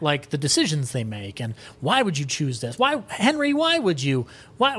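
A man's voice: fairly high pitch, a bit bright. A man chatting casually as a podcast guest, emphatic and enthusiastic, often restarting mid-thought as he gives his opinions. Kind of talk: casual opinionated chat about music and film.

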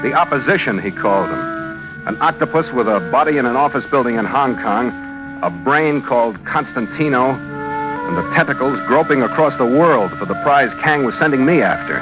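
An actor playing a tough, wisecracking sea captain in an old radio drama, with an American accent and terse, hard-boiled delivery. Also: male voice, medium pitch, lively intonation, moderate pace, close to an everyday voice. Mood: hard-boiled, brooding. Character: tough, sardonic male sea captain, narrating his adventure. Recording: dull vintage radio recording, music behind.

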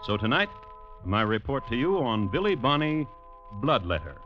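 Male host, deadpan storyteller with a lively melodic range, muffled 1950s radio recording. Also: medium pitch, moderate pace. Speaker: host and narrator. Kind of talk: 1950s radio scripted true-crime narration. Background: music.

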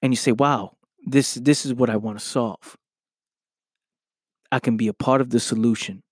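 Male podcast host, a bit hoarse, earnest motivational talk, close dry mic, mid-range voice. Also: mid-range voice, steady tone, moderate pace, no echo. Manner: earnest, repeats "right?" often. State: slightly hoarse from losing his voice.

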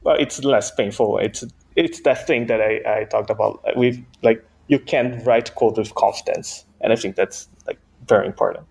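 Brazilian man, Portuguese native speaker, guest engineer; gravelly, halting, laughs under his breath. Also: lowish voice. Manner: hesitant, frequent restarts and soft laughs. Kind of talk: casual interview answers.

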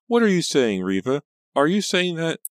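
A male narrator plays an anxious, easily upset teenage girl in an audiobook. The voice is pushed far up, thin, shrill and shaky. It pleads and stammers with suspicion, in a dry recording. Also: big pitch swings, normal speed, no echo. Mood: alarmed, shrill. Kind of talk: audiobook character dialogue.

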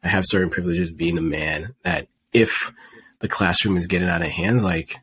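A man who teaches middle school, hosting an interview podcast in a calm, laid-back way. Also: sits low, moderate pace, slightly rough. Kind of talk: casual interview conversation.

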